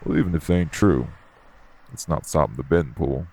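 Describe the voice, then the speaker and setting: A man playing a gruff, blunt, unbothered club worker with streetwise slangy talk in an audio roleplay. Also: a bass voice, a little fast, noisy background. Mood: casual, teasing. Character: gruff, blunt, unbothered tough guy working at a nightclub. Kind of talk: audio roleplay acting addressed to the listener.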